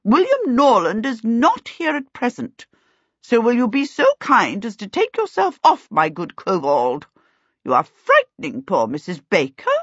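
A woman's voice: a little high, wildly swooping pitch, measured pace, a bit bright, breathy edge, no echo, lo-fi sound, pitched above the performer's own voice, full character voice. A woman voicing a stately, imperious aunt in a storybook, haughty, commanding and formal.

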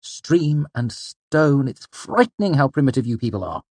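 A man's voice: a little high, animated, moderate pace, a little mellow, no echo, pitched above the performer's own voice. A man voicing an indignant, theatrical young male fae creature, fussy and haughty, for a storybook. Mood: haughty, disdainful.

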